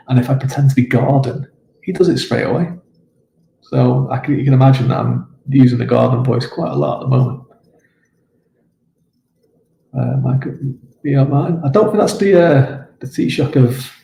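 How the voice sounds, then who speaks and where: Man, author live stream, gravelly, mellow, rambling with lots of ums, roomy echo. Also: medium pitch, slight background noise. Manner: rambling and hesitant with many fillers. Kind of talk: casual live-stream chat answering comments. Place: home, with his dog nearby. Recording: roomy echo with faint ambience.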